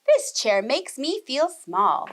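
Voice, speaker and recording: Casting: woman to play a bold, bouncy storybook little girl, high and swooping, chanting her lines. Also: very animated, slow, heavily acted. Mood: complaining. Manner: sing-song chanting, exclamatory. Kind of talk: children's storybook read-aloud.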